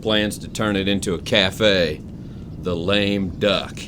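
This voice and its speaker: A man's voice: low voice, fairly even intonation, slow pace, noisy background. An actor playing an eccentric, suspicious older man, a retired engineer with folksy, clipped country phrasing, in an audio drama. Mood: folksy, proud. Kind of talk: full-cast audio drama acting.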